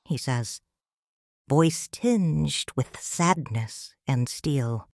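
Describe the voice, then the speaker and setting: A woman as a hushed grieving widow. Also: very deep voice, expressive, creaky, dry recording, studio quality, heavily acted. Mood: sorrowful. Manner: slow, hushed storytelling. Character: grieving widowed noble mother, quiet and restrained. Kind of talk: first-person story narration.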